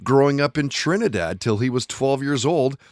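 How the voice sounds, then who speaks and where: A man's voice: medium pitch, animated, normal speed, darkish tone, no echo. Dry, close-miked male podcast host. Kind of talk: scripted show narration.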